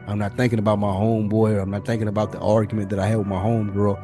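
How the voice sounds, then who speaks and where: Lower-pitched American man, casual co-host. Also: level tone, racing, over music. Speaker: podcast co-host. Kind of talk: casual podcast discussion.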